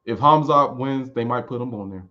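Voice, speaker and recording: A man's voice: medium pitch, moderate pace, highs cut off. A man in his late thirties, a music producer chatting casually and rambling with restarts while answering viewers. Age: 30s. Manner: loose, rambling, frequent restarts. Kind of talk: casual live chat.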